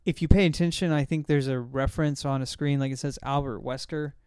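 A man's voice: medium pitch, steady tone, fairly quick, a little mellow, smooth, dry recording, studio quality. A man hosting a casual film discussion, rambling and often correcting himself as he recalls details. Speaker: podcast host.

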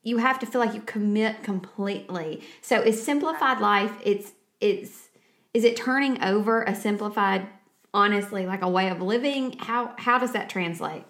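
A woman interviewing a guest in a casual, colloquial way, making her points with animated emphasis. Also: medium pitch, moderate pace. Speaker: interviewer, host.

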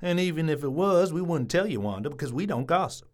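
A woman's voice: sits low, animated, fast, darkish tone. A woman voicing a nosy, defensive small-town busybody man with a Southern US accent, in a comic audio drama. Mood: irritated, defensive.

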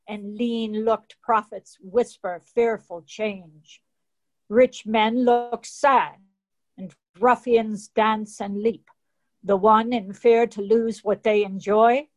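A grave, foreboding Welsh captain speaks in a fairly high voice read by a woman in a play reading. The lines come out slow and measured. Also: a little mellow.

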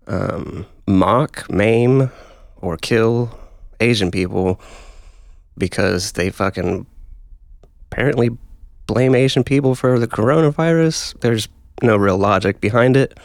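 A man with a low, gravelly voice talks very slowly and haltingly, stopping to think between phrases. The sound is dry and close. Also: no room sound. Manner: slow, halting and thoughtful, with many fillers and swears. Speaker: guest. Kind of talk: casual chat.

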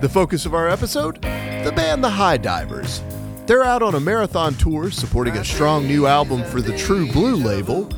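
Lively man reading a show intro. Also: a little high, normal speed. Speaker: podcast host. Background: music.

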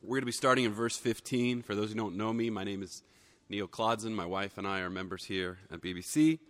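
Hoarse preacher in a reverberant hall. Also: male voice, on the low side, steady tone, fairly quick. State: hoarse from a cold. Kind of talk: sermon. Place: church sanctuary.

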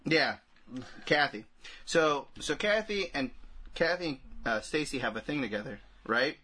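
A man's voice: fairly high pitch, expressive, unhurried, pushed and bright, slightly rough. A man co-hosting a podcast, chatting casually about a film with friends in a rambling, crude way peppered with filler phrases. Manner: rambling, crude humor, frequent "like" and "you know". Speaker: podcast co-host.

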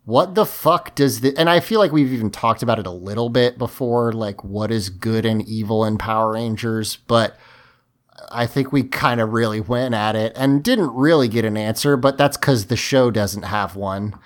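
Male podcast host, mid-range pitch, emphatic and conversational, voice starting to go. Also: moderate pace. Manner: emphatic, talkative. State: losing his voice. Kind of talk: casual podcast discussion.

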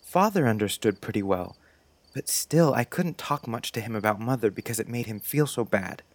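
A man's voice: fairly low pitch, animated, moderate pace, no echo. A man playing a sensitive, dreamy little schoolboy in a storybook, speaking in an earnest, wistful, confiding way.

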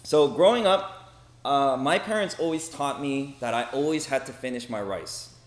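A man's voice: mid-range voice, normal speed. A man in his forties with an American accent gives an informal, conversational talk to a group, sharing personal anecdotes and often checking in with short tags. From Los Angeles, United States. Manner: conversational, frequent check-in tags like "okay?" and "right?". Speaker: speaker giving a talk. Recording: roomy echo, faint background noise.

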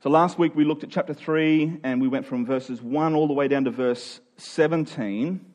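A man's voice: a little high, moderate pace, darkish tone. A man preaching in a conversational, expository style, earnest and informal, leaning on key phrases for emphasis.

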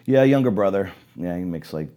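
Man in his forties, grew up in Canada, rambling conversational guest, many fillers, dark mellow tone. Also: low voice, expressive, fairly quick, highs cut off. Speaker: guest photographer. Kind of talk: casual podcast conversation.

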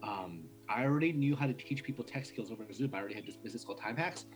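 A young man from New York City, a martial arts instructor and guest, talking in quick run-on sentences with restarts, laughs and blunt swearing. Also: on the low side, lively intonation, soft background music. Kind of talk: casual interview.

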